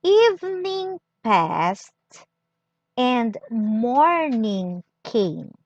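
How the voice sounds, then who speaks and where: Very slow woman reading scripture. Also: on the high side, big pitch swings, no room sound, lo-fi sound. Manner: deliberate, broken into short phrases with pauses. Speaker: scripture reader.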